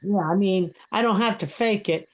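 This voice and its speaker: A woman's voice: mid-range voice, moderate pace, muffled recording. Seventy-something woman with a thick Brooklyn accent; outspoken, heated, repetitive guest. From Brooklyn, New York. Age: 70s. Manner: blunt, heated, repeats herself. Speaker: live stream guest. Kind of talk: casual chat.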